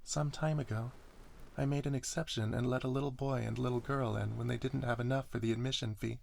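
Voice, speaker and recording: A man's voice: medium pitch, fairly even intonation, brisk, creaky, darkish tone, close to an everyday voice. A man voicing a cheerful teenage robot girl working as a planetarium attendant in a story reading, earnest, over-polite and chattering. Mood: apologetic, earnest.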